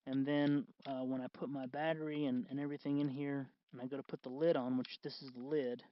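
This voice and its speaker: A man hosting a do-it-yourself tutorial in casual, unscripted talk, halting and correcting himself as he goes. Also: medium pitch, little pitch movement, highs cut off. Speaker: host of a DIY costume electronics tutorial.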